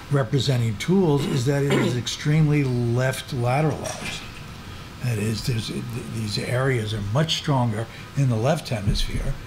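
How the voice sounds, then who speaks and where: A man's voice: medium pitch, noisy background. A man speaking on an academic panel, explaining ideas in a thoughtful way, with some fillers. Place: large meeting room with seated audience. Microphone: table microphone.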